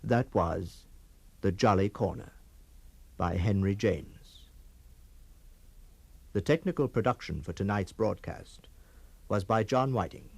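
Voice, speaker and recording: A man announces formally as the host of a radio drama. His voice is fairly low with a lot of fry, recorded dry, and his delivery is measured and solemn. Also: no echo. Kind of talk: formal scripted closing credits announcement.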